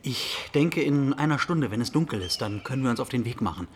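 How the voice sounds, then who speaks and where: An actor playing a practical, cautious companion in a German radio drama. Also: male voice, medium pitch, animated, a little fast, slight background noise, lightly acted. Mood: calm, cautious. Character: practical, cautious male companion planning a departure.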